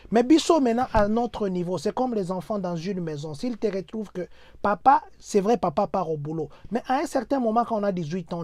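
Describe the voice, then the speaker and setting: A middle-aged Congolese man speaks French with a Congolese accent and slips into Lingala as he exhorts his listeners. His voice sits a little high for a man and sounds dry and close. He drives his points home with repeated, building rallying lines. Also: darkish tone, no room sound. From Democratic Republic of the Congo. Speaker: community speaker addressing compatriots. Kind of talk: motivational civic address. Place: United States.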